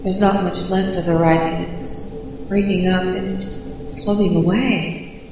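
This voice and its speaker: A woman playing a stranded, proud but frightened news reporter in a sci-fi audio drama, speaking haltingly in broken phrases. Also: fairly low pitch, fairly even intonation, natural voice. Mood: horrified, anxious. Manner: halting, breathy delivery with broken, repeated phrases. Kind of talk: audio drama monologue, sci-fi radio play. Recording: very echoey, long reverb, distant, noisy ambience. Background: clear ambient noise.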